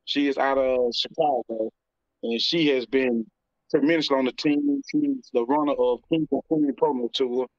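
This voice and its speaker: A middle-aged American man answering interview questions casually, in a halting, informal way. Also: mid-range voice, fairly even intonation, no room sound. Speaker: interview guest, rapper and label CEO. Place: indoor room, video call. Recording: slightly muffled, dry call audio.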